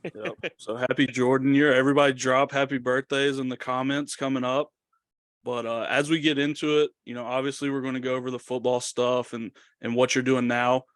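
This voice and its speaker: A man's voice: mid-range voice, flat delivery, a little fast, a bit bright, no echo. A young man co-hosting a podcast, introducing his guest in a friendly way with small slips. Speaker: podcast co-host. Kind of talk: casual chat.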